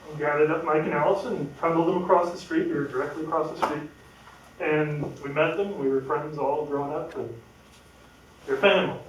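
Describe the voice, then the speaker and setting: Man, fairly high voice, hesitant with fillers, echoey hall, away from the mic. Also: fairly even intonation, brisk, slight background noise. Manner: hesitant, many fillers, chuckling. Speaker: family friend speaking at a memorial. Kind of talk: informal spoken remembrance. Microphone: no microphone, heard from a distance. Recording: echoey room, speaker far from the mic.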